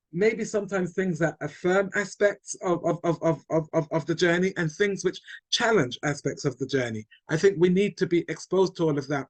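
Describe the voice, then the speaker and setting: A man's voice: a little high, no room sound. Man chairing a webinar, dry sound. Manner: warm, repetitive, thanking, hesitant. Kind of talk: chairing an online discussion. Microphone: video call.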